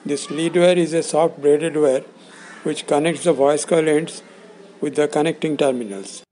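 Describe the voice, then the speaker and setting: A man with a fairly high, mellow voice narrates an instructional script. He explains things in short, measured phrases, as an instructor would. There is faint noise in the recording. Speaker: instructor presenting components.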